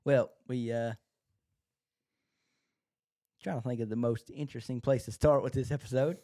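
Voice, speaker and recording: A man with a mellow, relaxed voice at a middle pitch. He chats casually as a podcast co-host, talking through a television show. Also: moderate pace.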